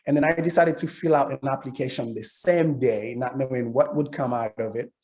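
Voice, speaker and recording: A man's voice: medium pitch, brisk, a little mellow. A middle-aged man with a Haitian accent, telling a personal story casually as a panelist.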